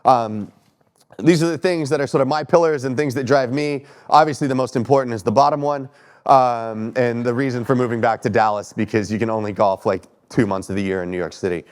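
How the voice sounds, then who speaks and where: A man's voice: medium pitch, fairly even intonation, brisk. Texan man, 30s, keynote speaker, a bit stuffy, joking, chatty, asks the crowd things. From Texas. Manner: conversational, self-deprecating, asks audience questions. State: stuffy from allergies. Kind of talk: conference talk. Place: stage in a lecture hall.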